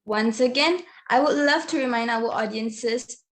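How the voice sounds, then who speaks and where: A woman hosts an online event, speaking to an audience. Her voice is on the high side. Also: unhurried. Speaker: event host. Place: online video call.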